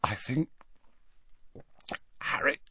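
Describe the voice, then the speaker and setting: A man voicing a dazed, lovesick teenage boy in an audiobook story, breathy and dramatic. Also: extremely slow, bright, forceful tone, no room sound, in a whisper. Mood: dreamy, lovesick. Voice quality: breathy, strangled when lovestruck.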